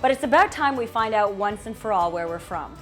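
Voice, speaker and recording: A woman's voice: mid-range voice, animated, brisk, fairly bright tone, faint music behind. A middle-aged woman from Canada, a travel vlogger chatting casually and with animation. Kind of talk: casual chat.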